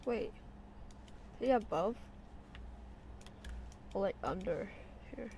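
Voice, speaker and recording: A woman's voice: mid-range voice, expressive, takes it slowly, dark tone, a little hiss or hum. A woman streaming a game, muttering short, casual reactions to herself as she plays. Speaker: streamer playing a story game. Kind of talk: casual reactions while gaming.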